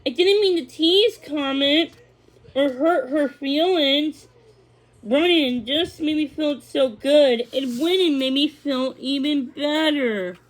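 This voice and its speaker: A woman's voice: high-pitched, takes it slowly, rough recording. A woman narrating a scripted children's story as a read-along.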